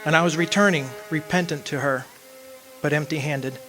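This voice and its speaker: A man acting in a radio drama as a wistful, earnest man devoted to his lost young love, speaking in deliberate phrases. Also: a little high, over music, natural voice. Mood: wistful, grieving.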